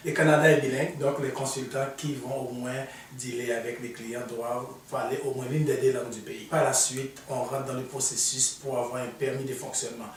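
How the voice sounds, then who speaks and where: A man's voice: medium pitch, flat delivery, fairly quick, few pauses, a little hiss or hum. A man, an immigration consultant on a studio panel, talking through his own path with many fillers. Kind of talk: explaining on a panel. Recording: echoey room, mic far off.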